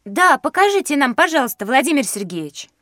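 A woman's voice: high-pitched, very animated, fairly quick, a bit bright, dry recording, clean studio sound. A woman playing a curious, polite and easily amazed young schoolgirl in a children's educational audio drama. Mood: excited. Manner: wondering, enthusiastic.

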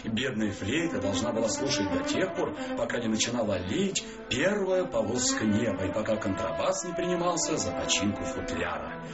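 A man's voice: lowish voice, big pitch swings, moderate pace, highs cut off, over loud music. A man narrating a dramatised literary story for radio.

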